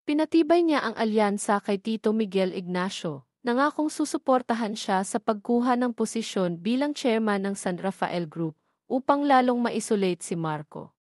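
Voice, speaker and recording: A woman's voice: a little high, animated, moderate pace, darkish tone, no room sound, studio quality. A woman delivering scripted narration for an audio drama story.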